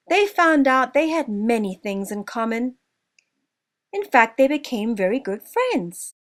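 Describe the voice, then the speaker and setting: A woman narrating a children's story from a script, with expressive delivery and deliberate emphasis. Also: high voice.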